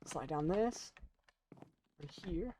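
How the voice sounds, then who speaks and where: A young adult chatting casually while playing and commentating on a video game, rambling in a halting, self-deprecating way. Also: medium pitch, animated, warm tone, slight background noise. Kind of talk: casual gaming commentary and chat.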